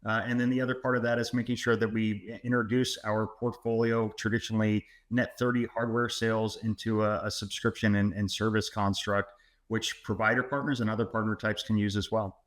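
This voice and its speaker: Man, lower pitch, podcast guest, businesslike explaining, small restarts. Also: level tone, fairly quick, a bit bright. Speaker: podcast guest, company sales director.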